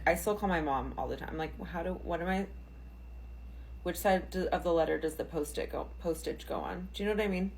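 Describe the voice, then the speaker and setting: A woman's voice: lowish voice, poor audio. A woman in her thirties rambling jokily to camera. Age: 30s. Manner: rambling, jokey. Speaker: social media poster. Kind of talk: casual talk to camera.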